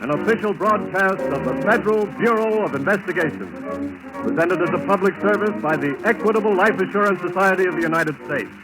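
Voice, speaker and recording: A man's voice: sits high, brisk, poor audio. A man announcing a 1940s radio program in a formal broadcast style. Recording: old 1940s radio broadcast, music behind. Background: prominent music.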